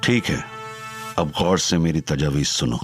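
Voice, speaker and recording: An actor playing a stern, menacing crime boss in an audio drama, deep and commanding. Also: male voice, unhurried, music in the background. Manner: stern, threatening, scornful. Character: menacing Chinese crime boss, older man.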